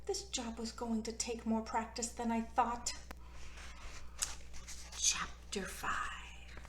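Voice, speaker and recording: Lady reading a chapter book to kids, slow pace, swoopy childlike storytelling. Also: on the high side. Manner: animated, childlike delivery. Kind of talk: expressive read-aloud storytelling for children.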